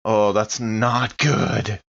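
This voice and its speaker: A man's voice: on the low side, fairly even intonation, drawn-out words, creaky, a bit bright, dull audio. A man chatting casually and animatedly as a player in a tabletop game.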